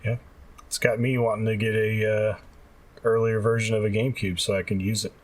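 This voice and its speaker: A man co-hosting a podcast, chatting casually in a husky voice. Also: fairly low pitch, little pitch movement, fairly quick, low-quality recording. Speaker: podcast co-host. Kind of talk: casual chat.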